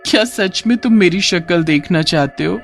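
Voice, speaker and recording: A man's voice: sits high, expressive, moderate pace, gravelly, faint music behind. Witch bride, acted by a male narrator: coy, possessive, menacing storybook ghoul with swooping delivery. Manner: coy, then possessive and taunting.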